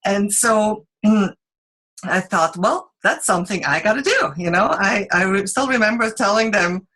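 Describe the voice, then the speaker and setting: A middle-aged woman from Switzerland with a Swiss accent, telling her story as a guest speaker in a relaxed, unscripted way with halting restarts. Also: mid-range voice, breathy edge, poor audio. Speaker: guest speaker, sales consultant. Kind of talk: informal presentation on a group call.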